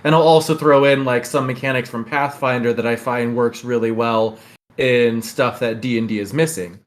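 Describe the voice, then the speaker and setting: A man's voice: mid-range voice, fairly even intonation, normal speed. A man with a mid-range voice chats as a podcast host. His delivery is casual and enthusiastic, like someone talking shop among fellow hobbyists.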